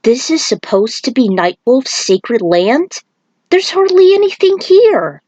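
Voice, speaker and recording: Woman as a skeptical warrior monk, pitched up. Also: big pitch swings, takes it slowly, darkish tone, no room sound, highs cut off, exaggerated voice. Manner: blunt, questioning, incredulous.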